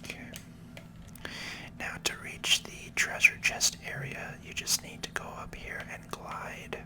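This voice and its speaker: A person giving whispered, casual ASMR commentary while hosting a video game playthrough, explaining things as they go. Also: a little slow. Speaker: host, gaming video creator.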